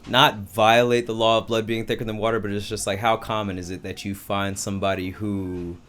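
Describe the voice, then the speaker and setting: A man's voice: lowish voice, little pitch movement, brisk, fairly bright tone. A man co-hosting a podcast, chatting casually in a laid-back, slangy way and asking rhetorical questions. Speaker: podcast co-host.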